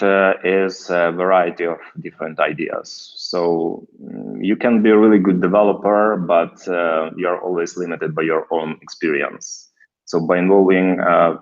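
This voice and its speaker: A very deep-voiced man with a Ukrainian accent speaks haltingly on a video call, pausing often with fillers. The call audio is dull and lo-fi. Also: gravelly. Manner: hesitant, many fillers and restarts. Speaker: guest developer. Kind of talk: casual video call interview.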